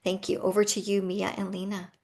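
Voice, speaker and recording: A woman's voice: medium pitch, monotone, brisk, a little mellow. A woman moderating a session over a video call, briefly introducing the next presenters.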